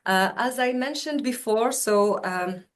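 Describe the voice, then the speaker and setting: A woman's voice: a little high, measured pace. Woman from Tunisia, recruiter presenting on a video call, chatty and hesitant. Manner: chatty, many fillers and restarts. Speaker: university student recruitment officer. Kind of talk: webinar presentation.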